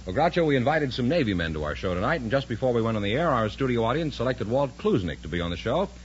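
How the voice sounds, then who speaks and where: A man's voice: medium pitch, sing-song, fast. A man announcing on a vintage 1950s radio quiz show. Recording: muffled, noisy vintage radio broadcast.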